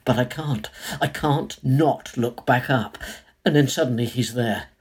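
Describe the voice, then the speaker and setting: An actor playing an anxious man who narrates his own ordeal in a slow, descriptive literary audio story, with a husky voice. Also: medium pitch. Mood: tense, brooding. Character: anxious male former game show host, narrating his own ordeal.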